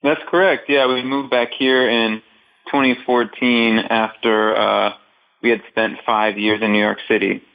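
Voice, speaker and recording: A man's voice: fairly low pitch, flat delivery, a little slow, gravelly. Upbeat male guest on a dull line. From Northeast Ohio. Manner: upbeat, joking. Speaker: guest drummer. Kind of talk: casual interview chat. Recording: slightly dull remote audio.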